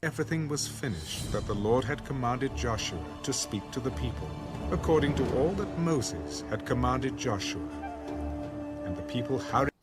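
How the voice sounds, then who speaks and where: Male scripture narrator, measured and solemn, mid-range pitch, prominent musical bed. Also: expressive, music in the background. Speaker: audio Bible narrator.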